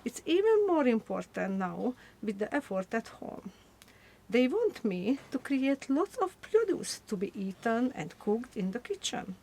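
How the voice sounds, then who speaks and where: A woman's voice: fairly high pitch, sing-song, dark tone, husky, full character voice, poor audio. A woman playing an eager, proud young woman reading her wartime letter to her sister in a radio play. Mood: proud, hopeful. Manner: earnest, deliberate.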